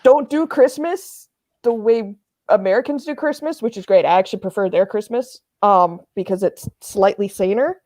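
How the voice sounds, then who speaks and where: A woman's voice: mid-range voice, moderate pace, dark tone, no echo. A woman chatting informally and emphatically as a panelist. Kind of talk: casual chat.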